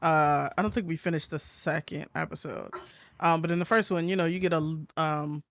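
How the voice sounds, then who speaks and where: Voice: mid-range voice, steady tone, brisk, gravelly, a bit bright. An American podcast co-host chatting casually in an informal, slangy way with swearing. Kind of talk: casual chat.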